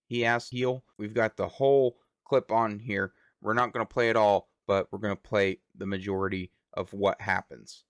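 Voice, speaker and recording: A man's voice: fairly low pitch, steady tone, normal speed, hardly any pauses, a bit bright, no echo, studio quality. A man giving news reaction commentary as the host of a show.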